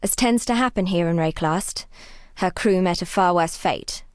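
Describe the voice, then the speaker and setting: An actress playing a devout, weary young woman healer exiled on a grim coast in a fantasy game, speaking in a solemn, measured, wry way. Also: fairly low pitch, no echo, studio quality, lightly acted. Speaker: non-player quest giver. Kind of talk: dramatic fantasy game dialogue.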